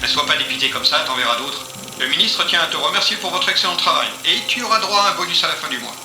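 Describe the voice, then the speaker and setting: A man's voice: fairly high pitch, animated, quick pace, lightly acted. Man playing a cynical, commanding interrogator in echoey room. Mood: cynical, smooth. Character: cynical, commanding male police intelligence interrogator. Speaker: actor in an audio drama. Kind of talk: French audio fiction acting. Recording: roomy echo, music underneath.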